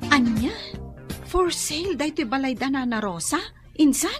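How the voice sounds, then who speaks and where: An actress playing a hopeful, concerned young wife in a radio drama, with an Ilocano accent. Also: female voice, high voice, lively intonation, moderate pace. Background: music.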